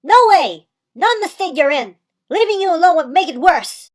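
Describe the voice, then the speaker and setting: A woman's voice: very high-pitched, expressive, normal speed. A woman playing an earnest, caring young female pup in an amateur audio drama. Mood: worried.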